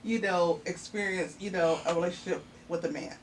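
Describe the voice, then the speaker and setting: A woman's voice: on the low side, brisk. A middle-aged woman, a mother, talking casually and reflectively on a podcast, laughing often and using many fillers. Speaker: guest, mother of the host. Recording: distant mic with faint noise.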